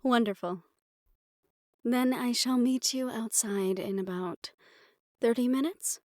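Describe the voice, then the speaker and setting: Gentle, formal young fantasy noblewoman played by a woman. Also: on the high side, dry recording. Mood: polite, hopeful. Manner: polite, formal, measured phrasing. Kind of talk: scripted audio roleplay addressed to the listener.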